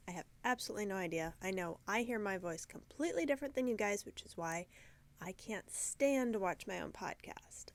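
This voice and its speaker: A woman with a croaky, stuffed-up voice hosts her own podcast from inside her yarn shop. She chats alone to the camera in a loose, rambling way, pokes fun at herself, and sometimes loses her thread. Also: medium pitch. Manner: chatty and rambling, self-deprecating, loses her train of thought. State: congested, croaky from sinus trouble. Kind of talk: casual video podcast chat. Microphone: camera mic, talking alone to camera.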